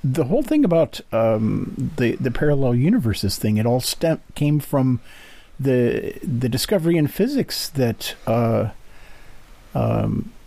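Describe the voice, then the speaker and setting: A man co-hosting a casual podcast discussion, talking haltingly as he searches for his words. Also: medium pitch, sing-song, dark tone, no echo. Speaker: podcast co-host, interviewer.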